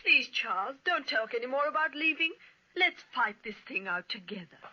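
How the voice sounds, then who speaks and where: A woman's voice: sits high, expressive, normal speed, sharp tone, full character voice. A woman playing an anxious, devoted young lady in an old-time radio melodrama, with breathless, pleading emotion. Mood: distressed, tender. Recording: muffled old radio recording.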